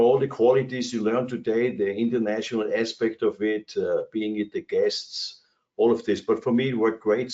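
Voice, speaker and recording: A man's voice: fairly low pitch, darkish tone, lo-fi sound. A man in his sixties from Austria with an Austrian German accent, speaking as a cruise industry executive on a webinar panel from his office, rambling through anecdotes with many fillers and restarts. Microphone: video call.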